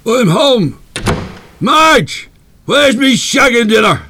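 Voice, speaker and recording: A man's voice: very high-pitched, very animated, slow pace, noisy background, full character voice. A man with an Irish accent playing a coarse, abusive, hard-drinking husband in a live radio play. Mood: irritable, demanding. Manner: coarse, belligerent, cursing.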